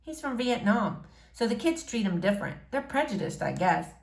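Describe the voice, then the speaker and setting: A woman's voice: medium pitch, big pitch swings, moderate pace, creaky. A woman voicing a reluctant, wry young schoolboy in a storybook read-aloud, hesitant and understated in manner. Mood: thoughtful. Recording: roomy, echoing room sound.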